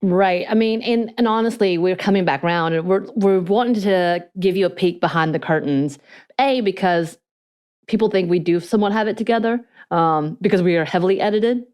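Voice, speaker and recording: A woman's voice: lowish voice, a little fast, darkish tone, clean tone. Reflective woman with a faint Korean-Georgia accent. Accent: slight Korean and North Georgia mountain accent. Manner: reflective, analytical, laughs often. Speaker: podcast co-host. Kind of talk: casual podcast conversation.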